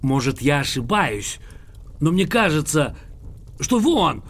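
A pompous, litigious old gentleman in an audio drama. His voice sits rather high and swings wildly up and down, with a gravelly creak. He is boastful, excitable and theatrical in everything he says. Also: takes it slowly, exaggerated voice. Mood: insistent. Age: older. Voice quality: creaky, husky.

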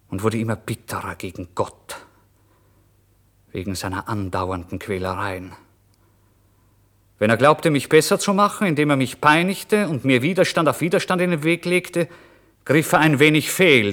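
An actor playing a tormented, starving man, bitter and brooding, in a deliberate, theatrical radio-play monologue. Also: medium pitch, lively intonation, fairly bright tone, natural voice. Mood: defiant outburst. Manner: brooding, deliberate, theatrical, swinging between murmur and outburst. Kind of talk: dramatic first-person literary monologue for radio play.

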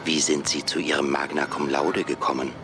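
An actor playing a cold, blunt AI job interviewer in a radio play, speaking formally and condescendingly. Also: male voice, a bass voice, level tone, moderate pace, music in the background. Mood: cool, condescending. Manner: formal, clipped, condescending questioning.

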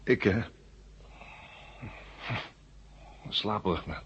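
An actor playing a reluctant captive adversary in a 1970s radio drama, grudging and bitter yet respectful. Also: male voice, on the low side, normal speed, a little hiss or hum, lightly acted. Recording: muffled old radio drama recording.

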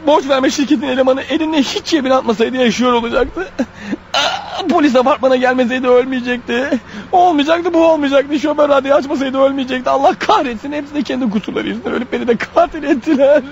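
Radio play role: wounded killer on the run, very high-pitched, rapid, agitated, self-pitying, fading strength. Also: male voice. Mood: anguished, self-justifying. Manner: agitated, muttering to himself, snapping at others. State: weak, bleeding, fighting off sleep. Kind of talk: radio drama acting with inner monologue. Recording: dull radio recording with faint noise.

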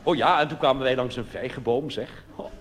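A man's voice: medium pitch, a little fast, fairly bright tone. A man with a Netherlands Dutch accent, a cabaret comedian telling a comic monologue live on stage in a conversational way, full of colloquial asides. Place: on stage in a theatre. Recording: noisy live recording in reverberant hall.

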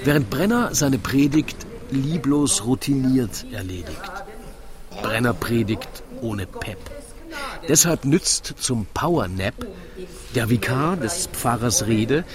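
A man narrating a scripted rhyming story in a theatrical, deliberate storytelling manner. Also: mid-range voice, lively intonation, crowd noise.

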